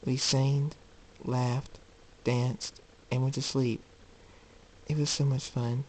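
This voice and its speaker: A man voicing a gentle, loving mother pony in a soft-spoken, tender manner for a story. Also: medium pitch, flat delivery, slow pace, a little hiss or hum, lightly acted, low-quality recording.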